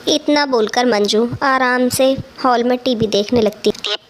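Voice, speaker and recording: A woman's voice: a little high, measured pace, background noise. A woman storyteller reading scripted narration for a cartoon moral story.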